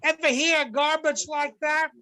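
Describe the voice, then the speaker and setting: A man's voice: extremely high pitch, little pitch movement, unhurried, sharp tone, exaggerated voice. A man playing a grumpy, streetwise middle-aged lunch truck worker in an amateur readers theater reading. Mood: indignant griping. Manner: streetwise griping, slangy. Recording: videoconference call audio.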